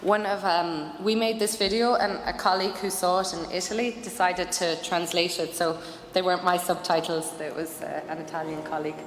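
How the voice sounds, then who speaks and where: A woman's voice: fairly low pitch, steady tone, brisk. A woman giving an earnest conference presentation, with frequent fillers. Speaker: conference speaker. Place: conference hall. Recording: echoey hall, faint noise.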